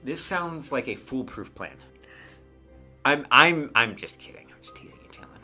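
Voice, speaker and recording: A man's voice: medium pitch, expressive, normal speed, pushed and bright, faint music behind. A man working as game master, narrating a tabletop game in casual, improvised chat with asides and hesitations.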